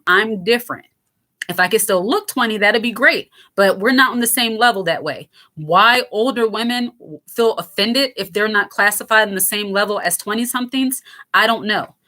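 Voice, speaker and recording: Frank, raspy-voiced woman host. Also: pushed and bright. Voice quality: raspy, lower voice. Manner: frank, breezy, lighthearted; fillers and self-interruptions. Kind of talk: casual commentary answering viewer comments.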